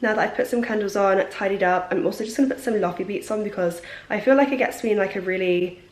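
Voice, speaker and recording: A woman's voice: mid-range voice, fast, reverberant, music in the background. A young woman in her twenties from the UK, stuffy-nosed from a cold, chatting casually through her morning routine. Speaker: lifestyle vlogger. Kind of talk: casual vlog voice-over.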